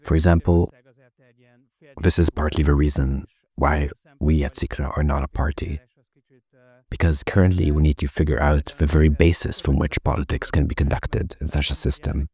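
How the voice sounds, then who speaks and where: Very deep husky Hungarian man. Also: flat delivery, normal speed, darkish tone. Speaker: panel speaker. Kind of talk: formal conference talk. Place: conference hall.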